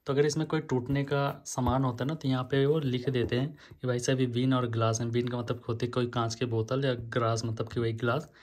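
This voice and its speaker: A man's voice: mid-range voice, brisk, few pauses. A man in his thirties from India, chatting casually and with animation as he unboxes groceries for his vlog, using his hands as he talks. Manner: chatty, animated, gesturing while talking. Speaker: vlogger. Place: indoor room, green screen and purple backdrop.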